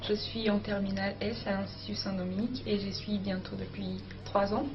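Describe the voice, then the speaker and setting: Teen girl from benin giving a prepared statement, flat pitch, noisy background. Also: a little fast. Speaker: high school student. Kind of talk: prepared personal statement to camera. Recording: dull recording with clear background noise.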